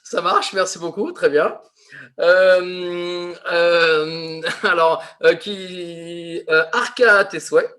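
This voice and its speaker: Male improv coach, unhurried delivery, jokey and full of fillers, heard over a video call. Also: high voice, steady tone, pushed and bright. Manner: slow, laughing, filler-heavy teasing. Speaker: improv teacher. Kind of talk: coaching a teen improv class.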